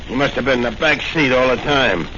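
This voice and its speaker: An actor playing a rough, slangy gangster henchman in 1940s radio serial style. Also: mid-range voice, a little fast, close to an everyday voice. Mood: reporting. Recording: muffled, very noisy old radio recording.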